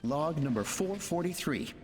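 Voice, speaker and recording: A man's voice: fairly high pitch, lively intonation, normal speed, slight background noise, natural voice. Actor as a reverberant, dramatic male research leader. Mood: determined. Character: grave, driven research leader reading out experiment logs. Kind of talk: dramatic game log narration. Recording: long hall reverb, faint music.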